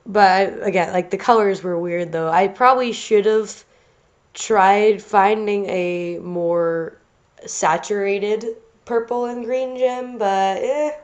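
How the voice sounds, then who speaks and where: A woman with a stuffy, congested nose, hosting her art channel in loose, rambling commentary. She keeps restarting her sentences and filling pauses with "um" and "uh." The recording sounds a little dull and lo-fi. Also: medium pitch. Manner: rambling, many fillers and restarts. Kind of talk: casual rambling commentary over drawing video. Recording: slightly dull lo-fi home recording.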